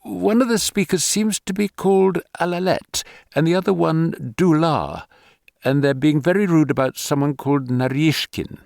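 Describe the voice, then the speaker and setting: A man voicing an English woman language scholar explaining a translation calmly over a phone line. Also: fairly high pitch, normal speed, creaky, no echo, studio quality, pitched above the performer's own voice.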